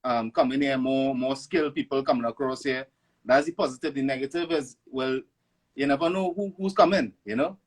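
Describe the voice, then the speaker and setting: A man from Venezuela raised in Trinidad, with a Trinidadian accent, talking as a guest in a casual interview, halting with restarts. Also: mid-range voice, poor audio. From born in Venezuela, raised in Trinidad. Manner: halting, many restarts and "you know". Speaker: guest artist. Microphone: online live stream.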